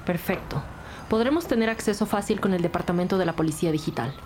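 A woman's voice: on the low side, fast talker, darkish tone, a little hiss or hum, lightly acted. A woman playing a businesslike, matter-of-fact police detective in an audio drama. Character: composed, businesslike female police detective, brisk and resolute.

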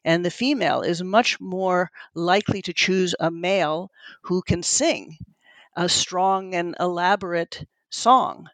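A woman's voice: fairly low pitch, slow, a bit bright, no room sound. A middle-aged woman whose first language is Italian, a neuroscientist answering interview questions in an earnest, thoughtful way, often searching for words. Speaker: neuroscientist, lab head. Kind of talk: interview guest, conversational.

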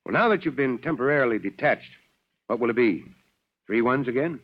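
A man's voice: medium pitch, lively intonation, a little fast. An actor playing a commanding yet fatherly fire chief in a 1940s radio drama. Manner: barking orders, then fatherly. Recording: dull old radio recording.

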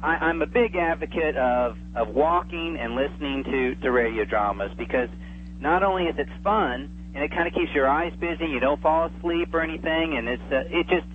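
A man in his forties chatting as an interview guest, enthusiastic and reminiscing, often halting and repeating words, heard over a phone. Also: fairly high pitch. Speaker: interview guest, radio drama producer. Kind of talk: casual chat, reminiscing. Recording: tinny phone line with hum and faint noise.

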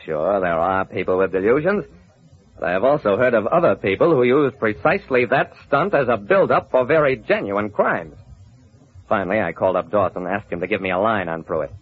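A shrewd, hard-boiled detective from vintage radio. He sounds husky and confident, with lively, wry delivery, on a muffled narrow-band recording. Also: on the low side, a little fast. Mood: wry, brooding. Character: shrewd, hard-boiled male investigator telling his case. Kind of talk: old-time radio detective narration and acting.

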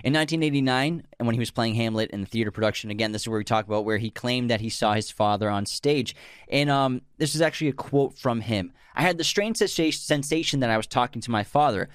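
A man's voice: mid-range voice, smooth, dry recording, clean studio sound. A man co-hosting a podcast, talking casually and enthusiastically about film and reading sponsor messages at a desk. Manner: chatty, enthusiastic, quick talker. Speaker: podcast co-host. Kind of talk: casual podcast chat and read sponsor ads.

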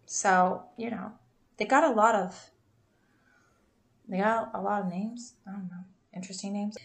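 A woman's voice: mid-range voice, fairly even intonation, unhurried, vocal fry. A woman in her thirties co-hosting a podcast, telling a true crime story in a casual, chatty way, laughing often and stumbling over names.

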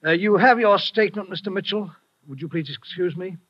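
A stammering, evasive suspect. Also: male voice, sits high, fairly quick, fairly bright tone, slightly rough, no room sound. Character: evasive male businessman under suspicion. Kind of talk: 1940s radio acting. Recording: dull vintage radio recording.

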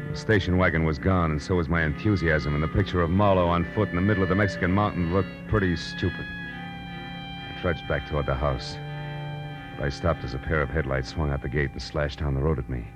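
An actor playing a tough, wisecracking American private detective in a 1940s radio noir drama, with clipped, sardonic delivery. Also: male voice, a bass voice, fairly even intonation, fairly quick, dull audio, over music. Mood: wry narrating. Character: hard-boiled, wisecracking male private detective, world-weary and tough. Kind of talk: 1940s radio noir acting and first-person narration.

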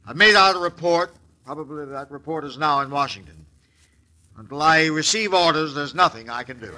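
An actor playing a blunt, middle-aged American army major in an old-time radio western, speaking in a formal, curt, official way. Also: male voice, fairly high pitch, brisk, sharp tone. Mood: stern, formal.

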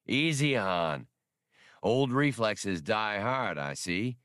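A man's voice: lowish voice, lively intonation, slow pace, fairly bright tone, no echo, clean studio sound. A man voicing a genial, paternal older ex-smuggler, easygoing and friendly, in an audiobook. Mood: amused. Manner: easygoing, fatherly.

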